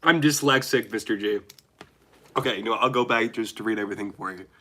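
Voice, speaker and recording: Young guy streaming with a cold, stuffy nasal voice, kinda bright, rambly chat. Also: lowish voice. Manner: rambling, chatty, reads chat aloud haltingly. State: nasal and dry-throated from a cold. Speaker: livestreamer. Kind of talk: casual live stream chat with viewers.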